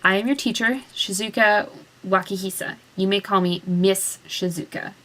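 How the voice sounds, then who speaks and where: A woman voicing a poised, strict schoolteacher who speaks in a measured, formal way. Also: mid-range voice, fairly even intonation, pushed and bright, lightly acted, poor audio.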